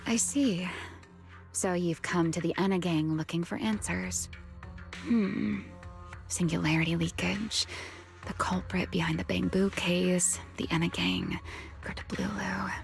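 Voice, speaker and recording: A woman's voice: lowish voice, fairly even intonation, vocal fry, faint music behind. A woman playing a teasing, secretive, long-lived huntress in a video game, coy and poised. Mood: musing, sly. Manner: coy, playful, unhurried teasing. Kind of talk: video game story voice acting.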